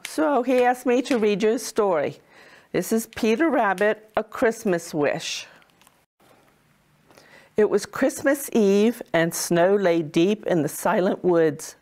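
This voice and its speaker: A woman tells a children's story as a kindly, motherly Mrs. Claus. Her pace is very slow and deliberate, with a medium-pitched voice and expressive phrasing suited to little listeners.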